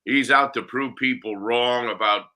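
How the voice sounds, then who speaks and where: Male guest broadcaster, very bright and cutting tone, confident opinions. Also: mid-range voice. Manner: confident, quick opinions, chatty about his schedule. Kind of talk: casual sports talk.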